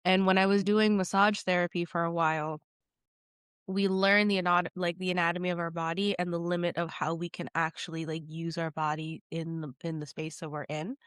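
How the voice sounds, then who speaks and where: A woman's voice: fairly low pitch, level tone, fairly quick, a bit bright, clear, resonant tone, dry recording, studio quality. A woman getting over a cold, sounding nasal, chatting as a podcast guest and rambling with lots of fillers. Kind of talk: casual conversation.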